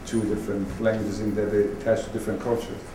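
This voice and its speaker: A man from Bosnia, a native Bosnian speaker, moderating a panel discussion in halting, reflective phrases. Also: on the low side, level tone. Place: public event room, recorded at a distance. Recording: distant echoey hall, clearly noisy.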